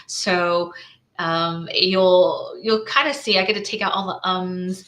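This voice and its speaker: A woman's voice: fairly low pitch, fairly even intonation, slow, fairly bright tone. A woman running her own small business, walking through her slides in a casual screen-share, thinking aloud with lots of "right?" tags and restarts.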